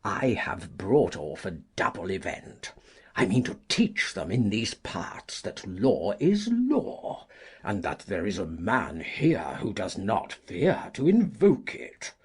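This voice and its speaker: A woman voicing a blustering, pompous old gentleman in an audiobook, with a rough voice and a hearty, gleeful manner. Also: very deep voice, wildly swooping pitch, slow pace, pitched below the performer's own voice, full character voice. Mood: boastful glee. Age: older. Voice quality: husky, rough. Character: blustering, gleeful, grey-whiskered old gentleman fond of lawsuits.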